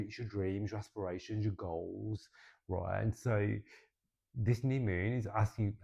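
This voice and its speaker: Gravelly low-voiced man, chatty astrology reading. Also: muffled recording. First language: English. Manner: expressive, chatty, swears casually, says "right?" often. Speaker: astrology and tarot reader.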